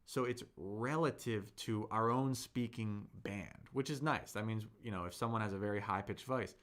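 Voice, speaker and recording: A man's voice: on the low side, normal speed, fairly bright tone. A man teaching a live-streamed Chinese lesson, patiently explaining tones, modelling words aloud and answering viewers' chat.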